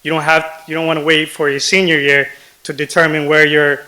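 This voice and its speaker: A man speaking informally to students as a guest speaker, giving advice in a halting, hesitant way. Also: fairly high pitch, flat delivery, sharp tone, rough recording.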